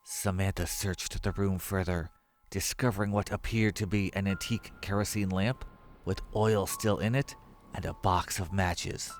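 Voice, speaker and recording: A man's voice: low-pitched, fairly even intonation, normal speed. A man reading scripted horror story narration, with music behind his voice.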